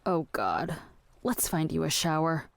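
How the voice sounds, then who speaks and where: A woman's voice: sits low, big pitch swings, unhurried, vocal fry, dry recording, heavily acted. An actress playing a practical, exasperated woman with a dry, weary manner in an audio drama. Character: exasperated, queasy, practical woman minding her raving companion. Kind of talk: scripted audio drama acting.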